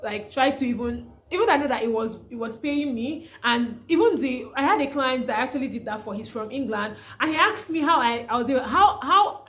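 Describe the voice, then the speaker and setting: A woman's voice: high-pitched, lively intonation, fairly quick, hardly any pauses, a bit bright. A young Nigerian woman with a Nigerian accent, talking casually to camera in a vlog, often restarting her sentences. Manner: chatty, restarts often, laughs through stories. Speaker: vlogger, freelancer.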